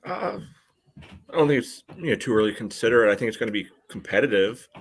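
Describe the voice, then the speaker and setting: A man giving casual sports analysis as a podcast panelist, hedging and reasoning things through in a measured way. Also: mid-range voice, lively intonation, a little hiss or hum.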